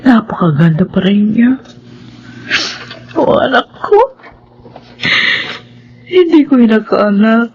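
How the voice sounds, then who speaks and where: A woman's voice: mid-range voice, a little mellow, lo-fi sound, natural voice. Actress playing an anguished Filipino mother, noisy recording. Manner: melodramatic, tearful. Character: anguished grieving mother of a dead daughter. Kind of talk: Filipino radio horror drama acting.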